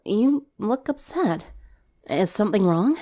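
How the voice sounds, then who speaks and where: A woman's voice: medium pitch, expressive, measured pace, dark tone. A woman voicing a friendly, self-assured teenage girl in a story reading, casual and wry, with concern for her friends.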